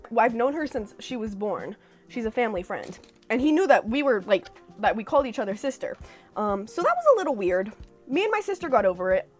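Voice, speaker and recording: A young woman from California tells stories in a high, bouncy voice that swoops up and down. She is chatty and animated, dropping sarcastic asides as she reacts to old posts. Soft music plays faintly behind her. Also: fairly quick. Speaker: vlogger. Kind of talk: casual reaction vlog storytelling.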